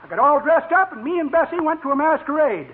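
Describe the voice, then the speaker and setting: A woman's voice: high voice, lively intonation, quick pace. A woman playing a cheeky, folksy child who rambles through tall tales in 1940s radio comedy. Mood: gleeful, folksy. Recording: noisy, tinny vintage radio recording.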